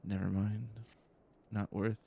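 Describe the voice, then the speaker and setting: A man giving casual, low-key video game commentary, musing aloud to himself as he plays. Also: little pitch movement, slow pace, vocal fry, dark tone, a little hiss or hum. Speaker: let's play streamer.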